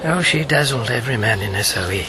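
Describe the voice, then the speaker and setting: An actor playing a witty older British former wartime codemaker in a radio drama, wry, playful and self-deprecating. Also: male voice, medium pitch, animated, fast, lightly acted. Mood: nostalgic, wry. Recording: clear background noise.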